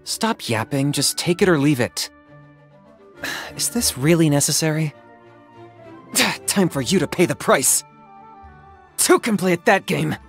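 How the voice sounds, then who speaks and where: A man voicing a calm, pragmatic young hunter in a video game, businesslike, deadpan and composed. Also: fairly high pitch, a little slow, pauses often, faint music behind. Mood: curt. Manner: deadpan, matter-of-fact, composed. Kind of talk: video game character voice acting.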